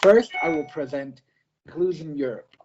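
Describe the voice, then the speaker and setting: A man, a self-advocate working as an easy-to-read editor, giving a prepared presentation in short simple sentences, slow and careful, with some restarts. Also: fairly high pitch, muffled recording, noisy background. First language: not French; French is a second language.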